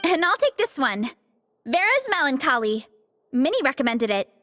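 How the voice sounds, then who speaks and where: Sweet, high-voiced young glutton. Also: female voice, sing-song, normal speed, bright, forceful tone, slight background noise, heavily acted. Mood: content. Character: sweet young female athlete with a huge appetite. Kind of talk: video game voice acting.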